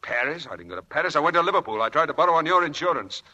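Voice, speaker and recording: An actor playing a volatile, bitter husband in a 1940s radio drama, intense and clipped. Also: male voice, on the high side, big pitch swings, rapid-fire, pushed and bright, dull audio, exaggerated voice. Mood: bitter, resigned. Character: volatile, bitter, self-reproaching husband in debt. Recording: old radio broadcast with faint music.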